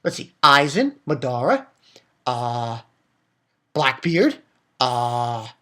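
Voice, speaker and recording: Casual vlogger voice for a manga rant: medium pitch, blunt, foul-mouthed, mocking, animated. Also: extremely slow.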